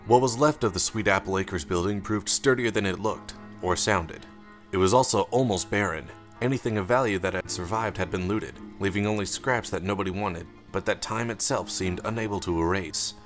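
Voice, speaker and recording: A man reading first-person fiction as an audiobook narrator, in a measured, dramatic way. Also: low-pitched, soft background music.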